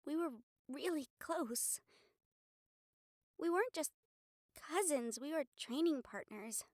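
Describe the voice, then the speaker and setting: A woman playing a troubled, emotive newborn vampire and former hunter in an audio roleplay, speaking with sighs and pauses. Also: high voice, animated, a little mellow, no room sound, clean studio sound. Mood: wistful, subdued. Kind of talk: dramatic audio roleplay acting.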